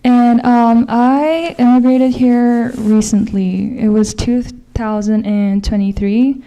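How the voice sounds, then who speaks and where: Young woman student, mellow and smooth. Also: a little high, fairly even intonation, slow pace, low-quality recording. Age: young adult. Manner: casual, with frequent fillers like "like". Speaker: college student. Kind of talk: personal testimony.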